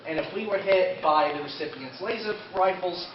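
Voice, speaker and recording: A man's voice: high-pitched, normal speed. A man presenting an informal convention panel about an anime, telling story events to an audience as if he took part. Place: convention panel room, indoors. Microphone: distant camera microphone. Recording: roomy, dull, distant camera with audience.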